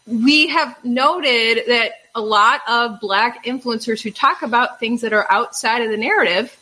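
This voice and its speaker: A woman hosts a casual chat in a bright, forceful voice pitched a little high. She talks in an animated way and laughs easily. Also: low-quality recording. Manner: lively, animated, quick to laugh. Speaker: podcast host.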